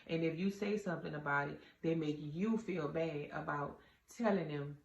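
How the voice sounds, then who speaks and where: A woman with a deep voice gives casual, straight-talking relationship advice to her viewers, calling them y'all with earnest conviction. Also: moderate pace, gravelly. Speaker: vlogger giving relationship advice. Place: indoor room with a door. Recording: roomy, slightly dull, faint ambient hum.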